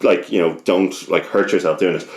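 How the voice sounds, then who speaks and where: A young Irish man with a slightly low voice tells a casual story at a quick clip. He swears freely and keeps breaking off his own sentences. The room is echoey, with some faint noise behind him. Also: steady tone, a little mellow. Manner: sweary, rambling, self-interrupting. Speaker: podcast guest telling a personal story.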